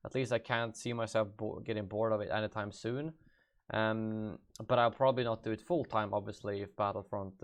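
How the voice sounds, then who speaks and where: Hesitant young Swedish man. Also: lowish voice. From Sweden. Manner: thinks aloud, hesitant and offhand. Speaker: gaming YouTuber. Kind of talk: live-stream Q&A, reading viewer questions aloud.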